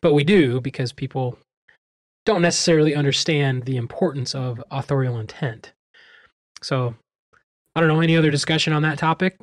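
A man's voice: a little high, a little fast, no echo, studio quality. A man hosting a podcast in a conversational way. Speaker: podcast host.

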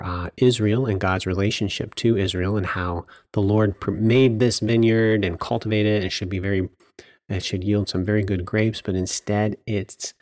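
A man with a fairly low voice gives an informal Bible devotional for a podcast. He explains earnestly and deliberately, and the recording is close and very dry, with no room sound. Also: a little mellow, dry recording.

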